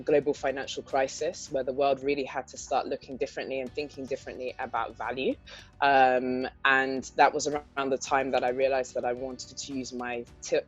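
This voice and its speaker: A woman from England with a London-area accent, answering interview questions as a guest entrepreneur in a thoughtful, articulate way with frequent "um" fillers. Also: extremely low pitch. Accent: English, London area. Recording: dull lo-fi audio with faint guitar music.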